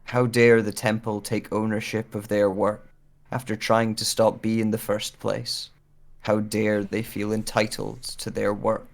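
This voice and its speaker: A man playing a sorrowful, intense amnesiac searcher in a measured audio drama voiceover. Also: lowish voice, monotone, creaky. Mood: frantic. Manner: measured, deliberate reading with pauses.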